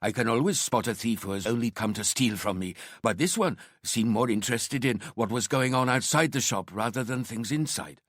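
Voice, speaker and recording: A man's voice: medium pitch, normal speed, few pauses, fairly bright tone, dry recording, clean studio sound, natural voice. A man voicing a courteous, talkative Indian shopkeeper in his sixties for an audiobook, with an Indian accent. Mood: eager, helpful. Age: 60s. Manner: deferential, talkative, proud. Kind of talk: audiobook character acting.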